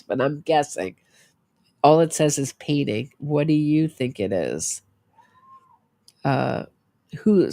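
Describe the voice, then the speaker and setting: A woman's voice: sits low, warm tone, no room sound, studio quality. A middle-aged woman who sounds congested, hosting a true crime show in casual livestream commentary, chatty and thinking aloud.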